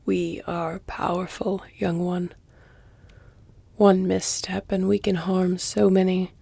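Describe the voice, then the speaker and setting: Woman as a creaky-voiced, flirtatious ancient vampire lady. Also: fairly low pitch, little pitch movement, warm tone, no echo, exaggerated voice. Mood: grave, warning. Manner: measured, teasing, formal, slipping into breathy near-whispers. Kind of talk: intimate audio roleplay, scripted dramatic acting. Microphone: close, dry studio-style mic.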